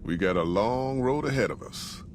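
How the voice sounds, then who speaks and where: An older man with an American Western drawl, acting a gruff, plainspoken old caravan leader in a video game. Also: mid-range voice, lively intonation, normal speed, noisy background, close to an everyday voice. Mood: brisk, teasing. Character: gruff folksy old caravan leader, weathered male storyteller. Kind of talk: video game character dialogue and narration.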